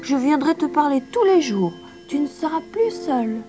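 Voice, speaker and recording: A woman's voice: high voice, very animated, moderate pace, music in the background, pitched above the performer's own voice. A woman voicing an eager, joyful little mountain girl in a children's storybook, pleading and exclaiming. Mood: tender concern. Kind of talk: children's storybook character acting.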